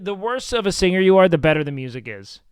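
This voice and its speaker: A man with a nasal voice chatting casually on his live stream, loud and excitable, swearing often. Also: high voice, fast, smooth, no room sound. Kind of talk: casual live-stream chat reacting to clips. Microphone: studio mic with noise gate.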